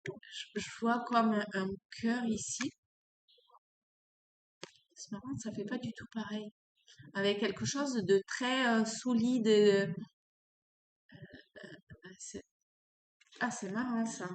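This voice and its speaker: A woman with a cold doing an unscripted tarot reading, pausing often and searching for words. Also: a little high, steady tone, reverberant. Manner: halting, searching for words with many fillers. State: has a cold. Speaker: tarot card reader.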